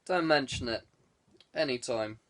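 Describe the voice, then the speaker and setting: A man's voice: mid-range voice, unhurried, a bit bright, natural voice. A man voicing a clever, guarded man who speaks with courteous evasiveness in an audiobook. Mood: guarded politeness. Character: guarded, clever man fending off police questions. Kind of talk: audiobook character dialogue.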